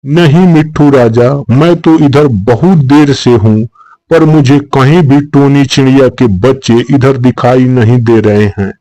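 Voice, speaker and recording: A man voicing a calm, matter-of-fact forest character in a cartoon animal story. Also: medium pitch, unhurried, no echo, voice pushed down, natural voice, rough recording. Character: unhurried cartoon forest figure: fox queen or sage.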